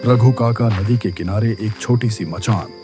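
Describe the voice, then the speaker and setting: A man's voice: on the low side, a little slow, over music. A man reading scripted horror story narration.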